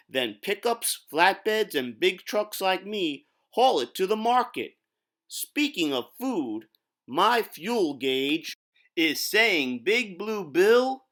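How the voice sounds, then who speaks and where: A man's voice: sits high, bright, forceful tone, exaggerated voice. A man voicing a friendly, boastful big truck in a folksy storytelling manner for a children's storybook read-aloud. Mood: cheerful, boastful.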